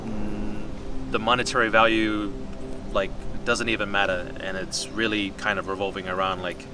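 A young man talking casually and reflectively about himself, in a halting, thoughtful way with slangy phrasing. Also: lowish voice, flat delivery. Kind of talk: reflective personal interview, casual speech. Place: outdoors in a city park. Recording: distant mic outdoors, music underneath.

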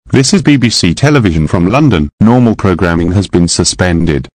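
Low-voiced male continuity announcer, dry sound. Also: lively intonation, normal speed, darkish tone, no room sound. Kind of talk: scripted broadcast continuity announcement.

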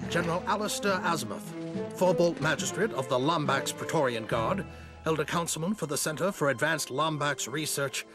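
A man's voice: on the high side, moderate pace, lightly acted. A man voicing a proud, learned older alien general in a game, formal and articulate. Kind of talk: video game cutscene acting. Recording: music behind.

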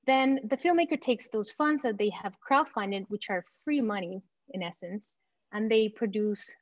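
Confident woman pitching over dull video-call audio. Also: dry recording. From Venezuela. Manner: confident, enthusiastic, promotional. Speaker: company founder pitching to investors. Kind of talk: startup pitch presentation.

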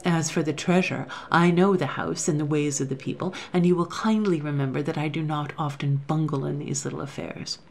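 A woman's voice: low-pitched, fairly even intonation, brisk, vocal fry, few pauses, soft background music. A woman playing a coolly sneering male robber. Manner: soft, sneering, coolly contemptuous. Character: cold, sneering male robber plotting murder.

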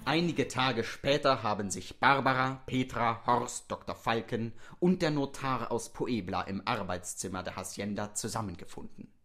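Mid-pitched male audio drama narrator. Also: normal speed, few pauses, a bit bright, soft background music.

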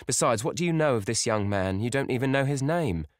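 A man's voice: medium pitch, animated, brisk, no room sound, clean studio sound, pitched above the performer's own voice, lightly acted. A man voicing a fretful, worldly older actress mother who talks in a querulous, fussy way.

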